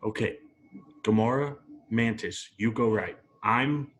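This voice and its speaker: A man's voice: on the low side, slow, fairly bright tone. A man playing a cocky, wisecracking space outlaw in a table read, with a flippant, blunt delivery. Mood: brisk. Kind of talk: amateur table read of a film script.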